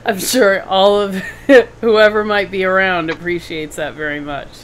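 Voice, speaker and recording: A woman's voice: mid-range voice, fairly even intonation, unhurried, slight background noise, poor audio. A middle-aged woman hosting a casual video call from a home room, encouraging and affirming her guest. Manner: encouraging, frequent affirming mm-hmms. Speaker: host. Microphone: video call webcam.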